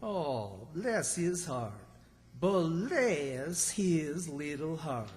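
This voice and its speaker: A naive country bumpkin played by a man in old-time radio comedy style. He drawls slowly and folksily, excitable and swooping in pitch, with long hall reverb. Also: exaggerated voice. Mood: simple, folksy.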